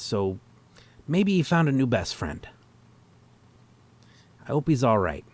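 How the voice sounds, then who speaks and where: A man's voice: on the low side, heavily acted. A man playing a naive, sentimental bro in a bunker commune, rambling casually and hesitantly through an audio comedy testimonial.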